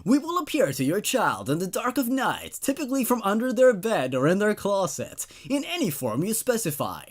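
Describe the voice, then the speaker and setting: A man voicing a slick, menacing company pitchman in an infomercial-style horror story. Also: huge pitch swings, moderate pace, exaggerated voice. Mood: gleeful menace. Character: slick, menacing company pitchman selling child-scaring services.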